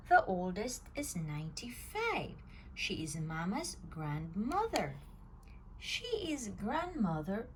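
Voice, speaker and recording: A woman reading a children's picture book aloud at storytime, telling it with an expressive, swinging voice. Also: medium pitch, a little hiss or hum. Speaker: storytime reader.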